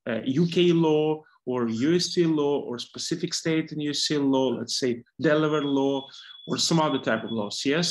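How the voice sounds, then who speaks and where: A man in his thirties from Ukraine, interviewing on a video call with halting, filler-heavy questions. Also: medium pitch, rough recording.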